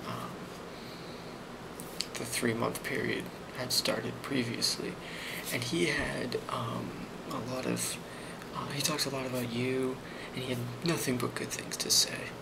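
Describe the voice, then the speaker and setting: A man doing an ASMR role play as a company boss, speaking softly and often near a whisper, in a halting, improvised way with many ums. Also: mid-range voice, flat delivery. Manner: soft-spoken, often slipping toward a whisper. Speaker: ASMR role-player playing a company boss giving a performance review. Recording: clearly audible background noise.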